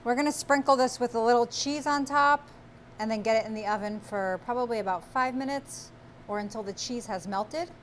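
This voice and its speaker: A woman's voice: on the high side, a little fast, a little hiss or hum. A woman in her forties hosting a cooking show, giving friendly step-by-step instructions as she cooks in a small studio kitchen on a lapel mic. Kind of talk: cooking demonstration.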